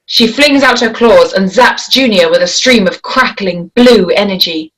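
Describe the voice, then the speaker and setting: Woman narrating a screenplay, medium pitch. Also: measured pace, fairly bright tone, low-quality recording. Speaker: narrator reading stage directions. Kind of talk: scripted narration.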